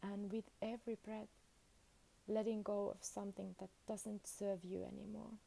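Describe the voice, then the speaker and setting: A woman leading a guided meditation as a teacher, speaking soothingly in short phrases with long pauses between them. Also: mid-range voice, little pitch movement, gravelly, dark tone.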